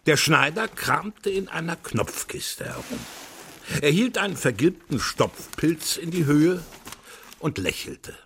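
A man narrating a children's radio play as an expressive storyteller. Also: fairly high pitch, takes it slowly, a little hiss or hum.

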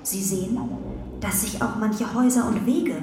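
A woman's voice: medium pitch, dark tone, natural voice. Echoey, distant artificial ruler voiced by a woman. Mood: formal, detached. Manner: formal, measured, emotionless courtesy. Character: artificially intelligent female ruler of space ark, courteous, controlling. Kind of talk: German children's radio play acting. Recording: echoey hall, distant, faint noise.

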